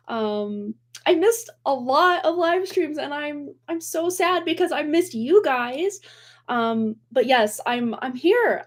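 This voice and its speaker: A woman in her forties hosting a tarot livestream, chatting casually and rambling with frequent laughs and restarts, her voice a little worn from recent voice loss. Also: high-pitched. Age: 40s. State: recovering from lost voice, slightly worn.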